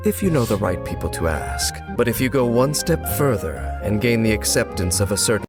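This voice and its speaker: A man playing a grave, enigmatic narrator in a fantasy game trailer, speaking in a formal, solemn and deliberate way over music. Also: fairly low pitch, sing-song. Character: grave male narrator of lore, measured, ominous, enigmatic.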